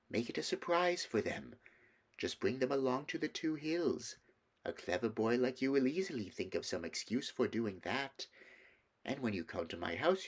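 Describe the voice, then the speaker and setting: Man voicing a sly, coaxing witch queen. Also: mid-range voice. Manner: smooth, wheedling, secretive.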